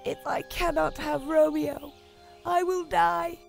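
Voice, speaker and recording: A woman's voice: high-pitched, steady tone, takes it slowly, creaky, soft background music, exaggerated voice. An actress playing a lovesick young noble girl in a dramatized storybook, with an emotional delivery. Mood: distressed.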